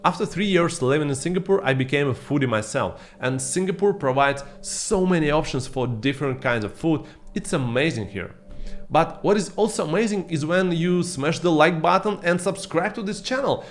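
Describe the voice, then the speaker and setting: A man in his thirties, not a native English speaker, talking to camera in a scripted vlog with playful asides, recorded on a boom-arm studio mic in a dim room at home. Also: normal speed. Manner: lively, playful asides to viewers. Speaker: vlogger.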